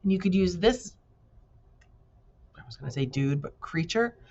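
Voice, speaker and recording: A woman with a fairly low voice speaks as a teacher reading to a class. She breaks from the story to explain things simply and casually, talking straight to her listeners. The recording is slightly dull and lo-fi. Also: expressive, moderate pace, darkish tone. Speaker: teacher reading aloud to students. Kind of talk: storybook read-aloud with conversational asides.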